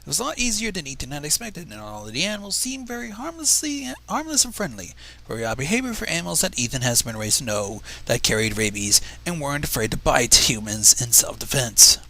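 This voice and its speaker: A man's voice: a little high, big pitch swings, brisk, gravelly, sharp tone. A man reading fan fiction aloud on a livestream, narrating expressively and dropping into casual asides. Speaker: livestream reader.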